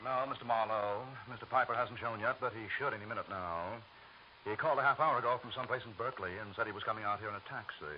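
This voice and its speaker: Actor as an obliging motor court proprietor, muffled. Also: male voice, fairly low pitch, quick pace, lightly acted. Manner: helpful, businesslike, chatty. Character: obliging, talkative male auto court proprietor. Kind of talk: old-time radio detective drama acting. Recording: muffled, noisy old radio recording.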